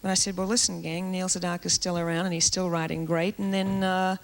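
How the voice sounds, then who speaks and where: A woman from Australia with a low alto voice, hosting a TV music show and chatting eagerly with a guest, full of fillers and restarts. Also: level tone, fast talker, rough recording. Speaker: host. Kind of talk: casual on-stage chat with a guest. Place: TV studio stage. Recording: 1970s TV broadcast with loud band music. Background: loud band music.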